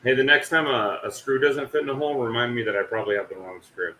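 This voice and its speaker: A man hosting a casual livestream, rambling and poking fun at himself. His voice is bright and forceful. Faint noise sits underneath. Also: mid-range voice, fairly even intonation, quick pace, roomy sound, rough recording. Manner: rambling, self-mocking, swears casually. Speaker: livestream host. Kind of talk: casual chat.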